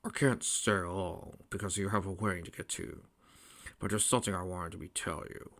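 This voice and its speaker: A man voicing a grave, weary old fatherly king in a hushed, breathy voice for a story. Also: deep voice, steady tone, moderate pace. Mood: grave, resigned.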